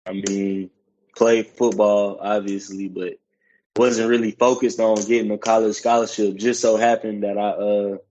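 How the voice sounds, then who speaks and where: A man's voice: fairly low pitch, little pitch movement, normal speed, warm tone, dull audio, slight background noise. A young man from South Carolina telling his story in a laid-back, matter-of-fact way as a podcast guest. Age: young adult. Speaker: guest, college football player. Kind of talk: casual interview.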